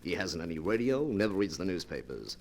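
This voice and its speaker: A man's voice: low voice, sing-song, fairly quick, vocal fry, exaggerated voice. A man acting in an old-time radio drama as a cocky, scheming fugitive who talks in conspiratorial tough-guy slang. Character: scheming, cocky man on the run, smooth to his host.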